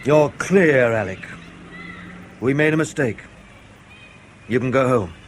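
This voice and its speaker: An actor playing a sardonic, menacing intelligence man who needles with colloquial, deadpan understatement in a radio drama. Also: mid-range voice, slow, a little hiss or hum. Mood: matter-of-fact. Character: sardonic, menacing male British intelligence interrogator.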